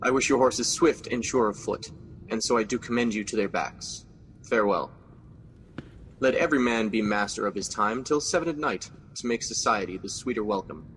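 A man playing King Macbeth, low and regal. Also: a bit bright, big-room sound, slight background noise, natural voice, rough recording. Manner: regal, measured verse delivery. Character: Macbeth, newly crowned ambitious king. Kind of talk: amateur radio drama acting.

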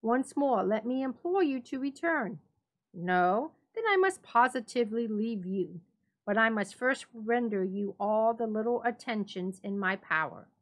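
A woman's voice: a little high, expressive, a little slow. A woman voicing a cold, mocking avenger who feigns concern, emphatic and theatrical, in a gothic story reading. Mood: feigned concern.

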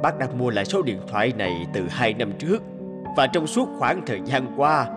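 Gentle older man, consoling, lively pitch, cartoon drama, voiced by a man, music behind. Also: normal speed, natural voice. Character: kind older man who lost his only son. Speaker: voice actor. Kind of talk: animated drama dubbing. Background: background music.

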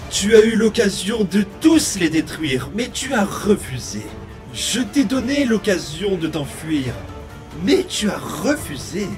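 A man's voice: sits high, big pitch swings, unhurried, faint music behind. A man playing a bitter, wounded demon boy in a dramatic comic dub, brooding and menacing. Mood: cold menace.

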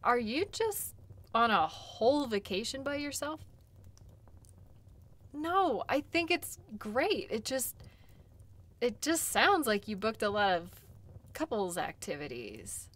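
Woman acting a slow-paced, caring sled guide. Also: on the high side, very animated, exaggerated voice. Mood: sympathetic. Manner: gentle, reassuring, conversational with pauses. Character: caring, chatty female dog-sled guide tending wounds. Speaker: audio role-play performer. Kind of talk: intimate audio role play, one-sided conversation.

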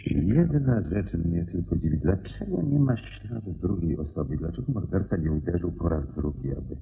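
Deep, gravelly detective, muffled sound. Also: male voice, expressive. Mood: pensive. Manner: measured, inquisitive, deliberate. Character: astute, courteous male private detective questioning a client. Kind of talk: radio play acting. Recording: dull, muffled radio play recording.

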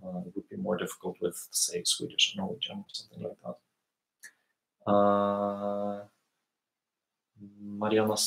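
Gravelly-voiced man teaching from home. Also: on the low side. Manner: relaxed, thinking aloud with many fillers. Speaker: language teacher. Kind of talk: live Q&A lesson. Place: small room indoors. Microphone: webcam. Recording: echoey room.

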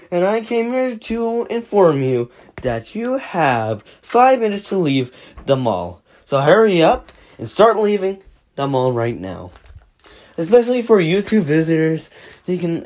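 A performer voicing a manic, menacing stork mascot from an animated horror story, theatrical and falsely reassuring. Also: mid-range voice. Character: manic, menacing stork mascot luring late-night visitors. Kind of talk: animated horror character voice lines.

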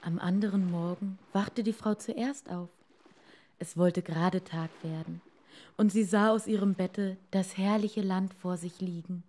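A woman tells a fairy tale in a soft, mellow voice at an unhurried pace. Her reading is expressive and deliberate, shaped for children listening to a story. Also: medium pitch. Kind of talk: scripted fairy-tale narration.

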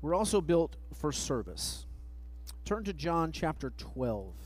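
A man's voice: fairly high pitch, big pitch swings, poor audio. Man preaching from a church pulpit. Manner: measured, reads verses slowly, trails off at phrase ends. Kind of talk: sermon with scripture reading. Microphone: pulpit microphone, far camera.

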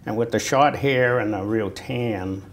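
A man in his sixties with a Boston accent, recalling his army service in a halting, conversational oral history interview. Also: lowish voice. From Natick, Massachusetts, United States. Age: 60s. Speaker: Vietnam War veteran being interviewed. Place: indoor room, seated on camera. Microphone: lapel. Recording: noticeable background noise.

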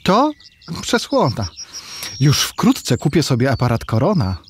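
Earnest, slow-spoken village bachelor. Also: male voice, medium pitch, huge pitch swings, a little hiss or hum. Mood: earnest, defensive. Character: earnest, bashful village bachelor and keen tinkerer. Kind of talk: rhymed radio play for children.

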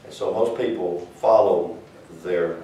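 A man's voice: low-pitched, a little slow, dark tone, a little hiss or hum. An older man with a Southern US accent, teaching a church class in a rambling, folksy, conversational way. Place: small church classroom, indoors. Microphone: far camera. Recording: echoey room from far off.

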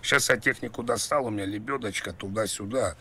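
Stranded dad on a phone call, male prankster: lowish voice, muddled, repetitive, halting, a little background noise. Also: sharp tone. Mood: rambling, worried. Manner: rambling, repetitive, hesitant. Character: rambling confused stranded male driver, a father. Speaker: prank caller, game streamer.

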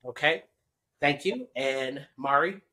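A man in his thirties hosts a casual podcast panel at home. He talks in a relaxed, chatty way, laughing easily and stopping to explain terms for the listeners. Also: mid-range voice, slow. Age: 30s. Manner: laid-back, laughing, explaining. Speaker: podcast host.

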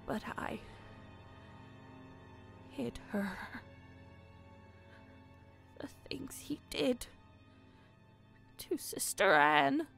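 Wounded old nun, played by a woman: extremely slow, gravelly, weak, frightened, comic dub. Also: fairly high pitch, very animated, fairly bright tone, faint music behind, exaggerated voice. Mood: anguished. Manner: halting, weak, breathless. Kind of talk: comic book dub voice acting.

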